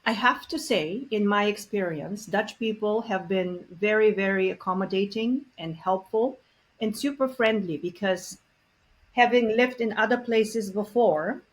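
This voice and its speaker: A woman's voice: medium pitch, a little slow, rough recording. Earnest woman. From Bulgaria. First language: Bulgarian. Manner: earnest, reflective, many fillers. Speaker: guest expert on multilingual parenting. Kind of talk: conversational interview.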